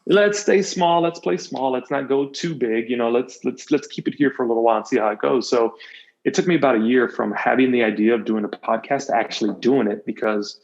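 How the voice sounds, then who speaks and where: Guy on a podcast being earnest and emphatic, repeats himself, middle pitch, kinda muffled lo-fi sound. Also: a little fast, a little mellow. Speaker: podcast guest, motivational coach. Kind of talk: casual conversational interview talk.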